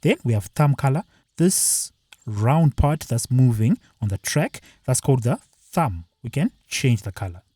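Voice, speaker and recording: Husky-voiced man walking through software. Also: mid-range voice, expressive, unhurried, dry recording, studio quality. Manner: plain step-by-step explaining. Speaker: software tutorial presenter. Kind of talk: screen-share walkthrough.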